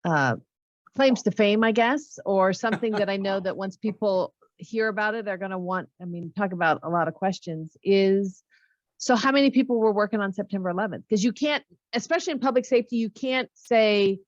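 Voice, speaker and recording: A woman's voice: medium pitch, normal speed, dry recording, lo-fi sound. A woman co-hosting in a casual conversational style, circling her way wordily toward a question. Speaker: podcast co-host.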